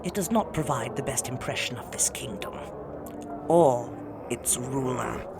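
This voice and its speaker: A woman's voice: a bass voice, a little slow, background noise. A woman playing a poised, jealous queen goddess in an audio drama, regal and formal with cutting politeness.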